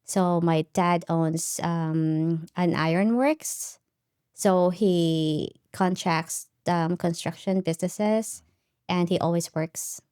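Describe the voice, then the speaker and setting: A middle-aged woman from the Philippines, a native Tagalog speaker, tells her life story in relaxed conversation. Her voice is smooth and somewhat low, with frequent laughs and tag questions. The recording is close and dry. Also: slow pace, no echo. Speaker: podcast guest. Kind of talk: casual interview storytelling.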